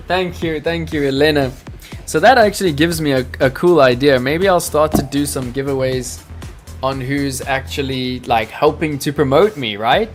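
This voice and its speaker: A man hosting a live crypto trading stream, talking to his chat in a hyped, salesy way. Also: on the high side. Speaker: crypto trading livestream host.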